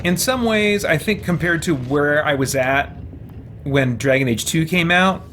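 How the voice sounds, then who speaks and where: A man's voice: on the high side, a little slow, fairly bright tone, slight background noise. A man streaming a video game, chatting casually and thinking aloud in a rambling, musing way. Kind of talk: casual livestream chat over gameplay.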